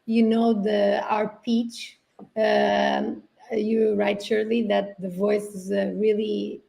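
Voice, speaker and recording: A woman whose first language is Portuguese, speaking as a guest over a video call. She has a gravelly voice and a reflective manner, often restarting as she searches for words. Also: level tone, takes it slowly, warm tone. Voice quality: gravelly low-toned voice. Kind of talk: casual conversational interview.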